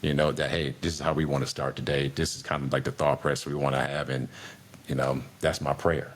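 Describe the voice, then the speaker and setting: Easygoing middle-aged guy, low voice, lots of restarts and "you know"s, chatting on a podcast. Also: flat delivery, fairly quick. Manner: laid-back, rambling with many restarts. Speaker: podcast guest, air traffic controller. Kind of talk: casual conversational interview.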